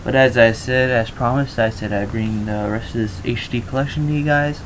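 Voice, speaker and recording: A man's voice: medium pitch, fairly even intonation, moderate pace. A man doing casual let's play commentary as he introduces a video game to his viewers. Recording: noisy home recording.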